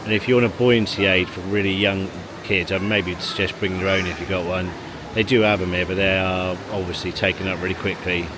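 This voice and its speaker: A man's voice: deep voice, steady tone, brisk, few pauses. A man chatting casually to camera in a family vlog, rambling and chuckling between remarks. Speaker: family vlogger. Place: outdoors in a car park, then at a leisure pool. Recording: handheld vlog with loud background noise.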